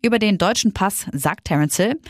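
A woman's voice: medium pitch, lively intonation, normal speed, dry recording, studio quality. A woman reading tabloid news in an upbeat, scripted delivery. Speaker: newsreader.

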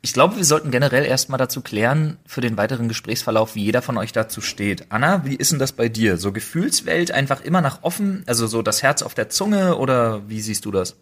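A man's voice: mid-range voice, animated, brisk, hardly any pauses, fairly bright tone. A young man hosting a casual podcast chat, playful and rambling, laughing often as he talks. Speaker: podcast host.

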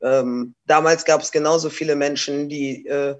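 A relaxed, slangy woman rapper chatting loosely in an interview, dropping English phrases here and there. Her talk is easygoing and conversational. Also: low-pitched, a little slow, dull audio. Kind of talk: casual interview chat.

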